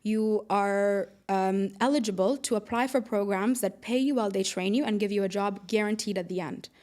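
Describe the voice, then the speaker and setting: Podcast guest: woman from the UAE, mid-pitched, informal conversational explainer and storyteller. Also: moderate pace, darkish tone. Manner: informal, explains with everyday analogies. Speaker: podcast guest, air traffic controller.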